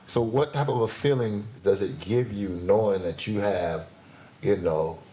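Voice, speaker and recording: A man's voice: fairly low pitch, measured pace, warm tone. A man hosting a podcast, asking questions in a loose, casual, slangy way. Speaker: podcast host, coach. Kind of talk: casual conversational interview.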